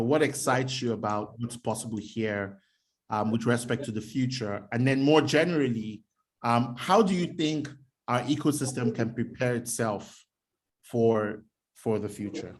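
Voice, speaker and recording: Interview host addressing a live audience. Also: male voice, mid-range voice. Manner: addresses the audience, many "um" pauses.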